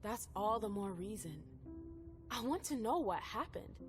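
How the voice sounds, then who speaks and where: A woman's voice: mid-range voice, lively intonation, measured pace, lightly acted. A woman playing a stubborn, wounded female vampire in a video game, speaking in a cool, skeptical, insistent way. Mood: hurt, insistent. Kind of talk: video game cutscene dialogue acting. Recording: game music underneath. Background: background music.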